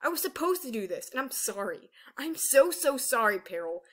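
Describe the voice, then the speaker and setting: A woman's voice: mid-range voice, expressive, brisk, pushed and bright. A woman voicing an anguished young female ice dragon in a fantasy story, impassioned and dramatic. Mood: desperate, tormented.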